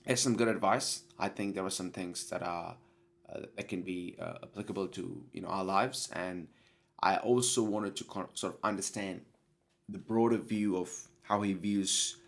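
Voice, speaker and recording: A young man with a somewhat low voice hosts a solo podcast while nursing a cold, so he sounds a little sniffly. He speaks earnestly and hesitantly, often restarting a thought to get it right. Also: fairly even intonation, normal speed, creaky. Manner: earnest, hesitant, self-correcting. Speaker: podcast host.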